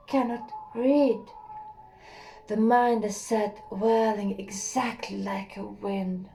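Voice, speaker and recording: A young woman reading a literary story aloud in a slow, hushed, measured way, with an occasional slip. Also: mid-range voice, slight background noise, poor audio. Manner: slow, hushed and measured, with a few slips and laughs. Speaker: audiobook reader.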